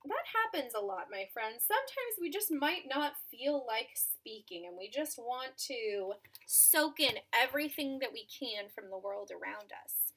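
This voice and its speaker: A woman's voice: on the high side, sing-song, a little slow, a bit bright, low-quality recording. A woman teacher reading a children's storybook aloud expressively, with asides spoken directly to young listeners.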